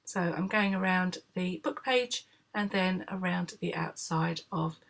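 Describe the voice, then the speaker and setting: A woman, hoarse from a cold, calmly explaining a craft project step by step in a tutorial voice-over, with frequent filler sounds. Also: fairly low pitch, unhurried.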